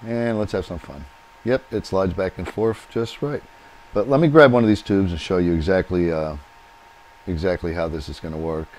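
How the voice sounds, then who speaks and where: A man's voice: deep voice, darkish tone, poor audio. A man hosting a do-it-yourself video, explaining each step as he works with his hands at a workbench in his home workshop. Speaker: DIY channel host. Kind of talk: hands-on how-to demonstration.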